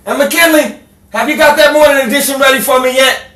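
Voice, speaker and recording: A man playing a gruff, blustering, bossy 1930s newspaper editor with hard-boiled slang in an old-time radio drama. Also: very high-pitched, brisk, pushed and bright. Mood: barking, impatient. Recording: distant roomy recording with faint noise.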